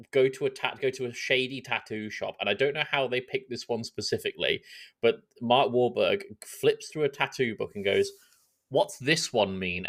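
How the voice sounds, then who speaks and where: A man co-hosting a podcast, telling things in a casual, animated, jokey way with some swearing. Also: fairly low pitch. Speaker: podcast host.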